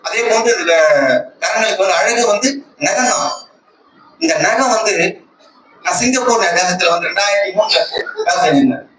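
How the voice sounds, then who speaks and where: High-voiced Tamil man testifying, echoey and noisy. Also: moderate pace, pauses often. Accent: Tamil Nadu. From Tamil Nadu, India. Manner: earnest, emphatic, repeats phrases for emphasis. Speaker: church member giving a testimony, lay speaker. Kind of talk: personal religious testimony to a congregation. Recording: echoey, distant conference call with loud noise.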